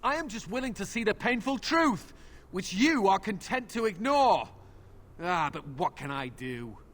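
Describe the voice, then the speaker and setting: An actor playing a stern, wary templar commander in a fantasy game, speaking in a grave, formal way. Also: male voice, sits high, big pitch swings, unhurried, a bit bright, heavily acted. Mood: grave, earnest. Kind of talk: game voice acting.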